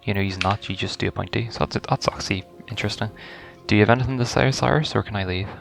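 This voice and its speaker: A man's voice: on the low side, rapid-fire, vocal fry, soft background music. A man giving casual first-reaction gameplay commentary, informal and stumbling a little as he reads game text aloud. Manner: informal, stumbles over words when reading aloud. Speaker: gaming video creator.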